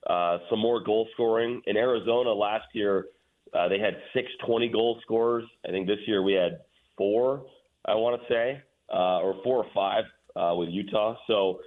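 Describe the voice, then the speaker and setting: The voice comes through a thin, phone-like line. It is a man with a fairly low pitch, chatting as an interview guest. He explains sports matters in a conversational, casual way. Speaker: radio play-by-play announcer, interview guest.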